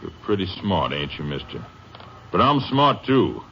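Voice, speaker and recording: A cornered western killer, volatile and folksy, his voice swinging widely in pitch. It sounds like muffled vintage radio drama with a little noise. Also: big pitch swings, normal speed. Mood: menacing. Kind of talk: 1940s-50s radio western villain acting. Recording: muffled, slightly noisy old radio recording.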